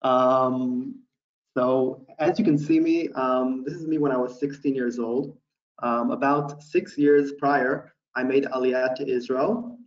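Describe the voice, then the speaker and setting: A man in his thirties from Houston giving a relaxed webinar presentation from a small room, with many ums and uhs as he thinks aloud over a laptop webcam. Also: medium pitch, takes it slowly, a little hiss or hum. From USA (Houston, Texas). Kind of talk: casual online presentation. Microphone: laptop webcam mic, video call. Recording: roomy, distant, dull webcam audio.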